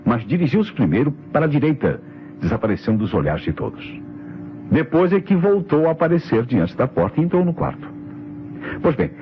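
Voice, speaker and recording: A Brazilian man playing a methodical, authoritative police inspector in an old radio mystery, explaining in a deliberate, declamatory way. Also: mid-range voice, close to an everyday voice. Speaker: radio drama actor. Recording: dull, noisy vintage radio recording.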